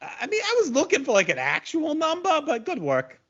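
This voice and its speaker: A man's voice: very high-pitched, huge pitch swings, a little fast, fairly bright tone, lo-fi sound, full character voice. A man playing a young, easily impressed video store clerk and wrestling fan in a comedic audio play. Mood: exasperated.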